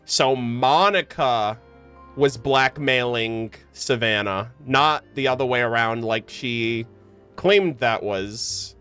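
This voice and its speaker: A man streaming a game, giving slangy casual commentary and thinking out loud. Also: medium pitch, takes it slowly, clear, resonant tone. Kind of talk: casual playthrough commentary, reading game text aloud. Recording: game music behind voice. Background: game music.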